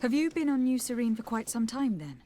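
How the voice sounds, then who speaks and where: A woman's voice: on the high side, big pitch swings, a little fast, warm tone. A woman playing a poised, polite young noblewoman making enquiries in a video game. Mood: polite probing. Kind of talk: video game dialogue acting.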